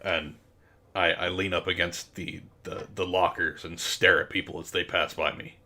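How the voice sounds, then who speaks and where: A man voicing a sullen teenage echidna, an edgy bad boy who talks haltingly in a comic story reading. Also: low-pitched, steady tone, bright, forceful tone, pitched below the performer's own voice, full character voice. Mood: edgy, posturing. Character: gruff talking echidna high-schooler, lazy edgy bad boy.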